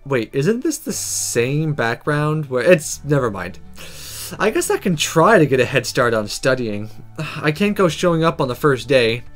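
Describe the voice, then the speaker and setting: A man plays through a visual novel, reading it aloud. His pitch moves around a lot as he slips playfully into casual asides and interrupts himself, with game music underneath. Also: sing-song, measured pace, fairly bright tone. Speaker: let's player.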